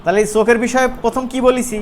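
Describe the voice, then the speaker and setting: A man with a Bangladeshi accent giving an informal, playful software tutorial as an instructor, often checking in with his listeners. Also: extremely high pitch, steady tone, racing, slight background noise. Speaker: animation instructor.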